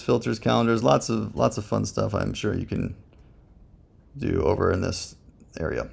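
A man with a gravelly voice, casually reviewing software while thinking aloud in a slangy, joking, laid-back way. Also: lowish voice.

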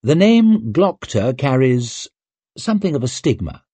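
A man voicing a cool, ruthless young noblewoman with a crisp, commanding manner, raised well above his own voice. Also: medium pitch, sing-song, warm tone, no room sound, pitched above the performer's own voice. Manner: crisp, commanding, quick to argue.

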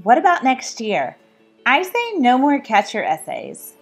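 A woman's voice: a little high, wildly swooping pitch, a little slow, soft background music. A woman who is an author, reading her own book aloud in a scripted style. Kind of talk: scripted read-aloud narration.

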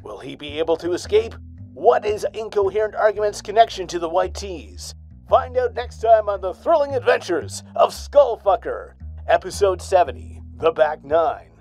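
A man announcing in an over-the-top 1940s radio serial manner, pressing hard on each cliffhanger. His voice is a touch high for a man, and soft music runs underneath. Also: big pitch swings, normal speed. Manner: dramatic announcer delivery. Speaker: narrator and announcer.

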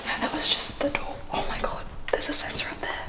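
Young woman vlogger, whispery and casual, camera mic in echoey empty rooms. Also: fairly quick. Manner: often whispering, swears casually. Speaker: urban explorer vlogger. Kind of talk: casual handheld vlog commentary. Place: inside an abandoned care home. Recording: echoey rooms, noisy background.

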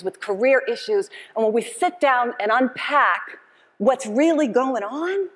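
A woman's voice: on the high side, long reverb tail. A middle-aged woman speaking passionately and emphatically in a motivational keynote, repeating phrases like a preacher. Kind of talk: motivational keynote address with call and response. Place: conference stage, indoors, before an audience.

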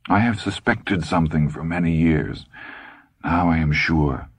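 A man's voice: extremely low pitch, unhurried, no echo. A man voicing a grumpy, long-suffering older husband in an audiobook story, irritable and dour. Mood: pained, resigned.

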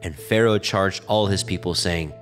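A man narrating scripture from a script in a solemn, measured way. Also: low voice, fairly bright tone. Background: music.

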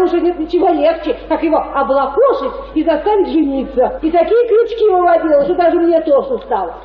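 An actress playing a nosy, gossiping provincial woman in a radio play, blunt, needling and colloquial. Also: high-pitched. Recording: echoey, distant, muffled radio drama recording.

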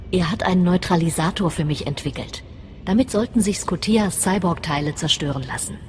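A woman playing a scheming, imperious female Sith lord in a German game dub, commanding and deliberate. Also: sits low, background noise.

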